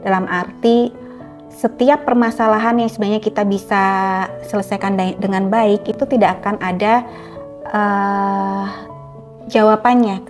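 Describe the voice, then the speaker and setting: A middle-aged woman speaking earnestly and deliberately as an interview guest, explaining her personal experience. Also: medium pitch. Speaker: author.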